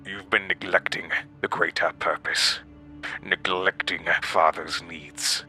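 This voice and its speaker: An actor playing a sinister man who coaxes a captive to obey, speaking slowly and menacingly, at times near a whisper, in a horror audio drama. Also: sits low, fairly even intonation, sharp tone, faint music behind, exaggerated voice. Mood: menacing, coaxing.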